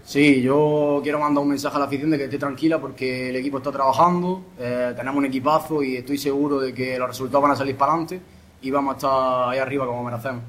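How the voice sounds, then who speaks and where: A young man, a footballer, answering post-match interview questions in a modest, halting way. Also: mid-range voice, steady tone, a bit bright. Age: young adult. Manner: halting, modest, pausing to restart.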